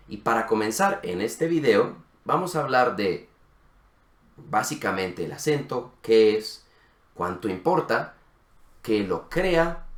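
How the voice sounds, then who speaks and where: A man's voice: mid-range voice, a bit bright. A man co-hosting a casual conversation, chatty, often finishing the others' sentences. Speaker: co-host of a language channel. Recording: roomy echo.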